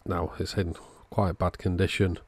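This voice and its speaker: A man's voice: low voice, flat delivery, unhurried, darkish tone, husky. A man narrating a hands-on electronics repair video in a casual way as its host.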